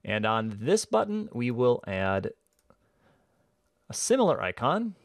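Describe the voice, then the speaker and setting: A man giving a casual, conversational software tutorial, explaining each step as he goes. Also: medium pitch, very animated, slow, vocal fry, no echo.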